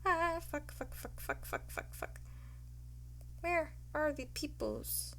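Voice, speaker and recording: A woman's voice: sits high, huge pitch swings, slow, fairly bright tone, slight background noise. A woman ASMR creator chatting casually and unscripted to her viewers in a flustered, giggly, sweary manner.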